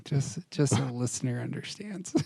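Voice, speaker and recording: A man's voice: medium pitch, lively intonation, normal speed, gravelly, dark tone, breathy edge, no room sound, clean studio sound. A man co-hosting a podcast in casual debate, bantering in a self-deprecating way. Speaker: podcast co-host.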